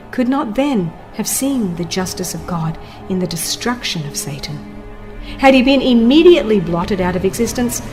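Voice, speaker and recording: A woman reading a religious text as a dramatized audiobook narration, solemn, emphatic and deliberate in delivery. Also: mid-range voice, a little mellow. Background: music.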